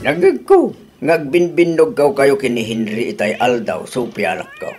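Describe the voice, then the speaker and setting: A man's voice: sing-song, moderate pace, darkish tone, faint music behind. A man with an Ilocano accent voicing a thrifty old father who lectures his daughter in a radio drama. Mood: scolding. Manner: lecturing, swooping pitch.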